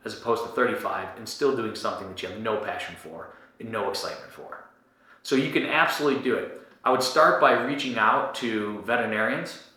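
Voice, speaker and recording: A man's voice: fairly low pitch, bright, forceful tone. A man in his forties, a podcast host answering a listener's question in a direct, encouraging way that often checks in with "right?". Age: 40s. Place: Chicago. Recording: very echoey room.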